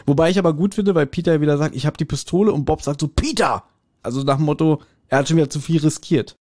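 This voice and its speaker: A man's voice: a little high, fast talker, a little mellow. A man co-hosting a podcast, casually retelling and reviewing a story in a colloquial, opinionated way. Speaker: podcast co-host.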